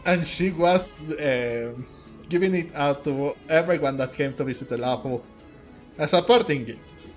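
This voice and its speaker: A man's voice: on the high side, measured pace, faint music behind. A man giving casual let's play commentary as a streamer, rambling and interrupting himself. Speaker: video game streamer.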